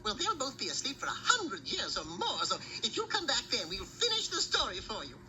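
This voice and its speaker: A woman's voice: fairly high pitch, wildly swooping pitch, fairly quick, sharp tone, faint music behind, heavily acted. A woman voicing a folksy, absent-minded little old witch with a cackle in a vintage cartoon. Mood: excited, gleeful. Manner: folksy, absent-minded, calls everyone honey. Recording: noisy old cartoon soundtrack.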